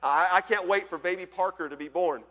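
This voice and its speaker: A man's voice: high-pitched, brisk, big-room sound. A pastor in his forties from Colorado preaching a conversational, storytelling sermon. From Colorado, USA. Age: 40s. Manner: storytelling, conversational, swings from loud to hushed. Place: church.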